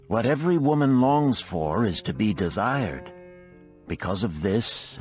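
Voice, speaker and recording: A man reads the part of a proud older desert wife in a storybook tale. She is cold and imperious, challenging her listener in formal archaic phrases, with soft music behind. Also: lowish voice, slightly rough, pitched above the performer's own voice.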